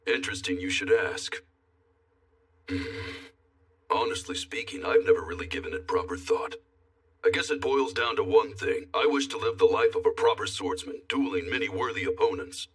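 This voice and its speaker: Husky, brash dragon warrior. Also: male voice, lowish voice, moderate pace, distant mic. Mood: hearty, musing. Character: big brash dim noble dragon-folk warrior general. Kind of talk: animated dub acting.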